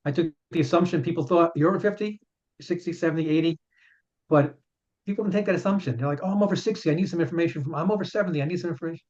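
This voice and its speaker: A chiropractor in his fifties talks in an earnest, explaining way and often stumbles over repeated words. His voice sits a little high for a man, and the sound is muffled and lo-fi, as if it came through a remote call. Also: very fast, a little mellow. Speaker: chiropractor and author, guest. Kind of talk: casual interview answers. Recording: dull lo-fi sound.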